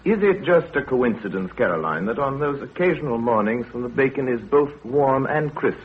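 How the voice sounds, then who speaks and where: An actor playing a dry, pompous, irritable middle-aged village doctor, sardonic and clipped, in 1930s radio drama style. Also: male voice, mid-range voice, lively intonation, moderate pace, breathy edge. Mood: testy, sardonic. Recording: tinny, narrow 1930s radio broadcast.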